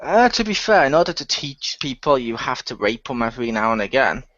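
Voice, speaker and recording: A man's voice: mid-range voice, very animated, fairly quick, fairly bright tone. A man, a cast member of a radio play, joking crudely and laughing in a casual online group chat over muffled audio.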